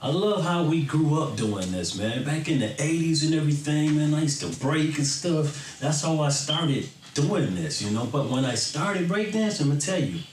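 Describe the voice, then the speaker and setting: A man's voice: on the high side, lively intonation, normal speed, few pauses. A middle-aged American man talking casually and earnestly to his fans, in an informal, rambling way. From Florida, then Michigan, United States. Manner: informal, earnest, rambling, often tagging "you know". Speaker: electronic bass music artist. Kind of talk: casual reflective talk to fans. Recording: roomy, distant mic, faint background noise.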